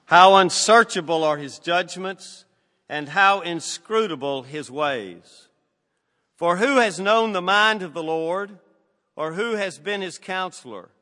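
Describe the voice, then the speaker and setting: An older man with a Southern American accent, a guest pastor reading scripture and preaching in a measured, folksy way with frequent fillers. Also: a little high, bright, forceful tone, long reverb tail.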